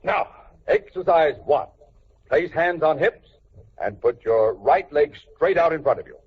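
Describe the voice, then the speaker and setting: A man's voice: a little high, animated, a little slow, breathy edge. A man playing a pompous, bossy, jovially competitive neighbour husband in a vintage radio sitcom. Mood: urgent, bossy. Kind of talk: 1940s-50s radio sitcom acting. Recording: muffled, hissy vintage radio recording.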